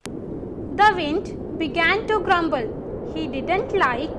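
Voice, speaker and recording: A woman reading a scripted children's story as a storyteller and teacher, slowly and deliberately. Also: very high-pitched, lively intonation, background noise.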